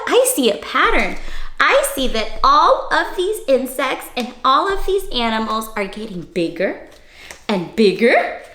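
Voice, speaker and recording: A woman hosts a children's read-aloud in a high, very animated voice. She lingers over words for playful dramatic emphasis, and the room adds a noticeable echo.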